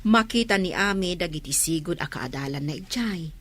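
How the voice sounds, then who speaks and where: A woman with a husky voice playing an eager, agreeable family friend in a drama. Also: on the low side, expressive, normal speed, fairly bright tone. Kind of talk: radio-style drama dialogue.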